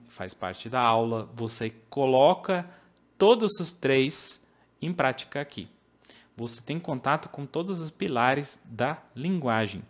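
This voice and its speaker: Foreign-accented man teaching to webcam. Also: mid-range voice. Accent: English-speaking foreigner speaking Portuguese. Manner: earnest, explaining with hand gestures, makes grammar slips. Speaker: English teacher. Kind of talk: casual video lesson to camera. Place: home office with shelves.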